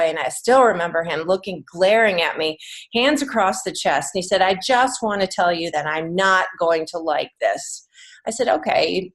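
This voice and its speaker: A middle-aged American woman with a fairly low voice talks as a guest in an interview. She explains things in an earnest, conversational way and leans on key phrases for emphasis. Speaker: podcast guest, children's author and teacher.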